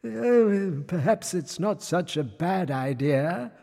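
Man playing a blustery, animated storybook king. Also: high voice, takes it slowly, gravelly, big-room sound, voice pushed up, heavily acted. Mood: musing. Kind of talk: children's storybook character voice.

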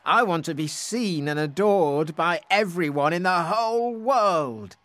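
A man voicing a vain, discontented husband who hungers for admiration, complaining and excitable, in a storybook tale. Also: sits high, unhurried, pitched above the performer's own voice.

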